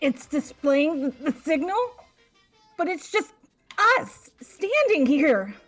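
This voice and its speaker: Game student role woman: confused, high-pitched and slow, faint music behind. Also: very animated, heavily acted. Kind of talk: fan-voiced video game acting.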